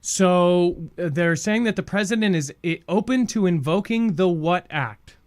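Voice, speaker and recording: A man with a notably high voice hosts an independent-style news show. He delivers commentary in a conversational way, speaking informally and directly to the people watching. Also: a little slow. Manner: informal, addresses viewers directly.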